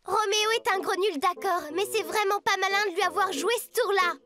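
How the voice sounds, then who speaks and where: A woman's voice: very high voice, steady tone, fairly quick, sharp tone. A woman voicing a sassy, reproachful young schoolgirl in a French cartoon dub.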